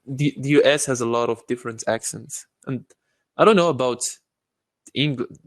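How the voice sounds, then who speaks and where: A man's voice: medium pitch, moderate pace, dry recording. A man from Morocco with a Moroccan accent, chatting casually in English as a learner, a little hesitant as he searches for words. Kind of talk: casual chat.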